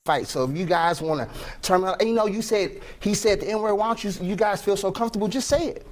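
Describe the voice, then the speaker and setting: Heated man, fairly high voice. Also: fast. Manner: heated, exasperated. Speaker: debate panelist. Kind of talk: panel debate.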